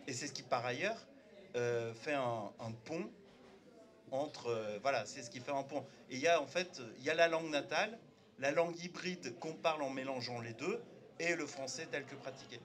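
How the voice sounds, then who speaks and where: A man's voice: mid-range voice, normal speed, a bit bright. A man from Turkey whose first language is Turkish, speaking up from the audience at a debate, hesitant with many fillers and restarts. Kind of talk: spontaneous debate remark.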